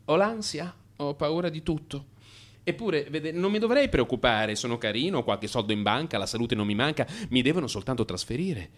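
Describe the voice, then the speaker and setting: A man's voice: medium pitch, expressive, a little fast, close to an everyday voice. An actor playing an anxious married man in crisis, confessing to his analyst in an agitated, emphatic radio theatre monologue. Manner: agitated, emphatic, swinging from hushed mutter to outburst.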